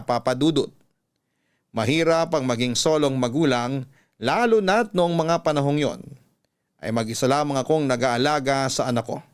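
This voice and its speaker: A man narrating a listener's letter as a radio storyteller, measured with dramatic pauses. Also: a little high, clear, resonant tone.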